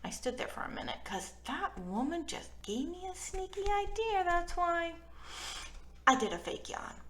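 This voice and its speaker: A woman's voice: very high voice, big pitch swings, normal speed, fairly bright tone, a little hiss or hum. A woman hosting a children's storybook read-aloud, playful and informal as she chats to young listeners and narrates. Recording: roomy, echoey room sound.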